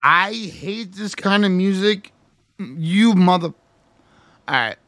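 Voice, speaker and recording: A man's voice: high-pitched, animated, slow pace, a bit bright, no room sound. A young man hosting a music reaction video, talking casually into a headset and bluntly dismissing the song.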